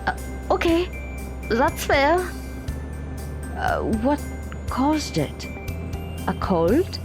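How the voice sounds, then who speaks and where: A woman acts out a timid teenage girl in an audio drama. She speaks very slowly and hesitantly, her pitch moving up and down a lot. Music sits underneath. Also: sing-song, full character voice. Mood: tentative.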